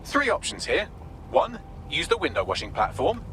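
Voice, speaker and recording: A man's voice: fairly high pitch, wildly swooping pitch, moderate pace, a bit bright, a little hiss or hum, full character voice. A man playing a witty, sardonic AI assistant in a video game, with a dry, articulate, sarcastic manner. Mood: dry teasing. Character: witty, sardonic male AI assistant briefing resistance operatives. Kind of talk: video game mission briefing.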